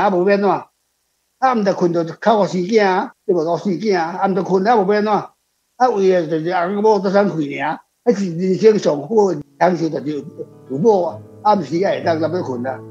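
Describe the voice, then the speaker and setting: A man's voice: sits high, a little fast, dark tone, soft background music. A man with a Taiwanese accent chats casually in a rambling, colloquial way, repeating his phrases, on a slightly dull recording. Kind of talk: casual chat.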